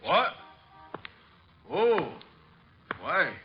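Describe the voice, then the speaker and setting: An actor playing a surly, suspicious, grubby street character in an old radio drama, slangy and complaining. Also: male voice, high-pitched, big pitch swings, very slow, music in the background, heavily acted. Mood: suspicious, protesting. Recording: noisy, dull vintage radio recording.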